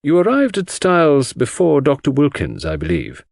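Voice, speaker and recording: A man voicing a brisk, formal coroner who questions witnesses in a mystery audiobook. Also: fairly high pitch, wildly swooping pitch, gravelly, a little mellow, no room sound, pitched above the performer's own voice, heavily acted. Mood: probing, formal.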